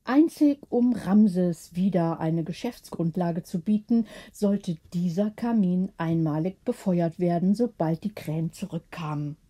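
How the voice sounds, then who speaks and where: A woman narrating a bedtime audiobook story in a measured, expressive storytelling manner. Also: fairly low pitch.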